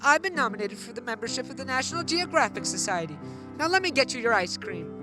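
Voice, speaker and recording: Woman playing a high-voiced, brash twelve-year-old boy. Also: very animated, fast talker, over music. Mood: cocky, dreamy. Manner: brash, earnest, boyish. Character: plucky, boastful twelve-year-old boy with big dreams. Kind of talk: 1940s radio play acting.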